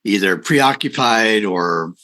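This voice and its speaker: A man with a lowish but bright, forceful voice. He hosts conversationally, agreeing and then explaining, often acting out short imagined lines to make his point. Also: animated, slow. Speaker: interview host. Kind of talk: conversational explaining of personality types.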